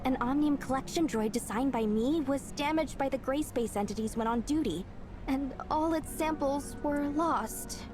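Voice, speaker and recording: A woman's voice: high voice, normal speed, natural voice. An actress voicing a cheerful young woman engineer in a video game, breezy and coaxing as she asks a friend for help. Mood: friendly.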